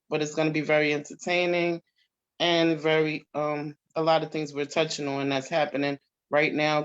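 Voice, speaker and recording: A man's voice: a little high, lo-fi sound. A man talking casually in a panel discussion as a member of a stage cast.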